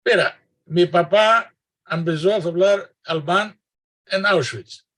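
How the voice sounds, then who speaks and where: A man's voice: sits high, slow pace, a bit bright. An older man from Greece, a linguist guest answering interview questions over a video call, hesitant and full of fillers and restarts, laughing often.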